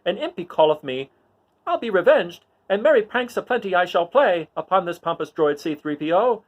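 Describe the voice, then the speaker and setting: A plucky little droid , voiced by a man, shares sly asides in mock-Shakespearean verse. The pitch is lifted and animated, the delivery theatrical, and the recording a bit dull. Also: normal speed. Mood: sly, resolute. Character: small, sly, plucky astromech droid confiding secretly.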